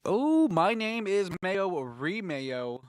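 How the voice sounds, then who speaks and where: A young man with a congested throat, chatting casually as a gaming host, rambling with lots of fillers and frequent throat clearing. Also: sits high, big pitch swings, takes it slowly, no echo, studio quality. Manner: rambling, filler-heavy, enthusiastic. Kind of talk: casual tier-list chat.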